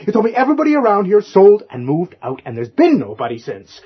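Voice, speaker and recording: A man's voice: high-pitched, wildly swooping pitch, quick pace, breathy edge, no echo, lo-fi sound, full character voice. An actor playing an irritable husband and struggling novelist, exasperated and stammering as he grows rattled, in a radio horror drama. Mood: agitated, bewildered.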